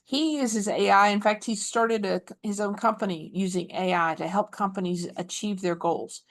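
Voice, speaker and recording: An upbeat woman hosts an online meeting over a video call. She runs through the coming sessions in a chatty, eager way. Her voice sits at a middle pitch. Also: fairly even intonation, normal speed. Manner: enthusiastic, chatty. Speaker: chapter host. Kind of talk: announcements and introduction.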